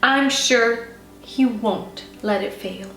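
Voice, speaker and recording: Woman voicing an earnest, high-voiced teenage girl, roomy sound. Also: slow pace, fairly bright tone. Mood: firm, sure. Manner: earnest, insistent. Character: earnest, confident early-teen girl full of faith. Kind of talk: children's storybook character reading. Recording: roomy, distant mic, faint music.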